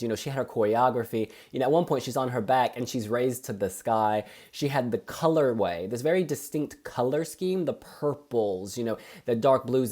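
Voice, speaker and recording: A woman co-hosting a casual review chat, talking in an animated, chatty and opinionated way. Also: rumbling low. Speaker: co-host.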